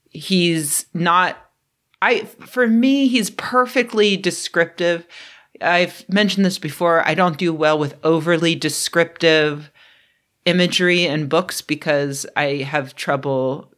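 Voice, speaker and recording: A young adult woman chatting unscripted on her channel, a bit throaty from a cold, rambling with fillers and self-deprecating asides. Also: deep voice. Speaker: book vlogger. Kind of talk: unscripted casual vlog.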